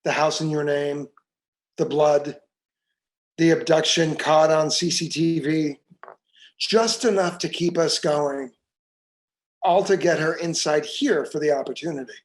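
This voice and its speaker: An actor at a screenplay table read playing a shrewd male police detective, measured and knowing. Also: on the high side, fairly even intonation, natural voice.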